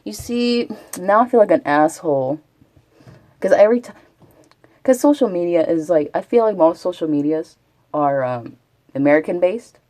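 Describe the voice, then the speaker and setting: A woman's voice: lowish voice, animated, moderate pace, mellow, no room sound. A chatty woman from Texas, rambling casually as a live streamer and answering her chat. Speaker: Twitch streamer.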